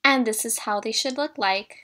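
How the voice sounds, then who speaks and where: A woman's voice: on the high side, moderate pace, heavy background noise. A young woman, bubbly and upbeat, walking viewers through a craft tutorial step by step. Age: young adult. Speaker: DIY vlogger. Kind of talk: casual tutorial voice-over. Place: indoors in front of a brick wall. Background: background music.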